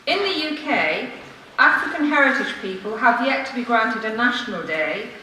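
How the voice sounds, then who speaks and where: Woman reading out a formal speech in a big echoey room, sharp forceful voice, earnest and measured. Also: on the high side, expressive. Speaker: member of the public addressing a city council. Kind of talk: formal prepared speech, read aloud. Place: large council meeting room, indoors. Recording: echoey room from far off, faint noise.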